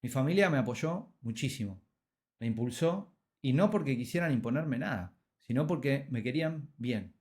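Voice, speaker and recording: A man in his thirties with an Argentine accent, hosting a podcast and telling his life story in a reflective, scripted way with dramatic pauses. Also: mid-range voice, expressive, a little mellow, breathy edge. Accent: Argentine Spanish. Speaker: podcast host. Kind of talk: scripted personal narration.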